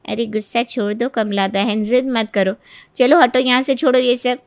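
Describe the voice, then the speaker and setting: A woman's voice: on the high side, steady tone, normal speed, no echo, natural voice. A woman voicing a concerned older neighbour woman in a storybook tale, exclaiming and coaxing. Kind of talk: Hindi moral-story voice acting.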